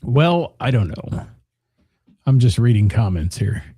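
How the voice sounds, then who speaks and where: Hoarse man on radio, rambling jokey chat, dark, dry close sound. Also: medium pitch, big pitch swings, measured pace, no room sound. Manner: joking, rambling, with restarts. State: losing his voice. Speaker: radio show co-host. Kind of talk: casual on-air chat, reading listener comments.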